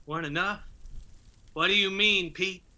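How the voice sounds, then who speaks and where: A man's voice: sits high, steady tone, normal speed, sharp tone. An actor playing a dutiful, peacekeeping mountain man in a radio drama, folksy and steadying, with an Appalachian accent. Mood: calming, concerned. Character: dutiful, peacekeeping mountain brother, Jim McCoy.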